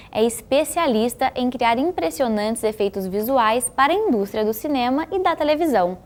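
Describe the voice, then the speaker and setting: A woman with a Brazilian accent reads scripted documentary-style narration in a measured, informative way. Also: fairly high pitch.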